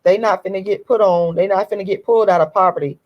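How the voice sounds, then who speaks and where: A woman with a hoarse, deep voice, giving a blunt, emphatic opinion monologue to her online audience and repeating her key points. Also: steady tone, fast, lo-fi sound. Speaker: YouTube commentator.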